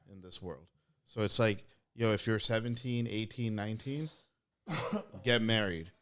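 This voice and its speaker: A man's voice: on the low side, a little slow, fairly bright tone. A young man, a podcast guest whose first language is not English, talking loosely and reflectively in slangy casual chat.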